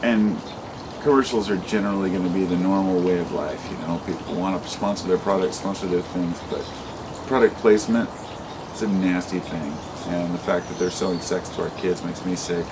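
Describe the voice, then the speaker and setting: A man's voice: low voice, steady tone, a little fast, noisy background. A man in his late thirties talking freely in a vlog monologue, rambling and impassioned, swearing often and restarting his sentences. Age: 30s. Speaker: vlogger. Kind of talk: unscripted monologue to camera.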